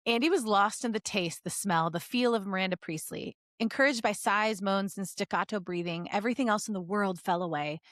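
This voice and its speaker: A woman with a mid-range voice hosts in a playful way, slipping between expressive reading and casual asides. She is close on the microphone with very little room sound. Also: mid-range voice, fairly quick, few pauses, dry recording. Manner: playful, giggly. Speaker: podcast co-host reading fan fiction aloud. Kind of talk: expressive story reading mixed with casual banter. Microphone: close podcast microphone.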